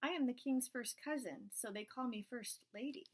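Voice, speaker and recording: A little girl who loves sweets chatters in a high, childlike voice, as a woman reading aloud puts her on. She is stubborn one moment and proud the next, with a slightly breathy edge. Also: moderate pace, lightly acted. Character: little girl, sweet-loving king's cousin.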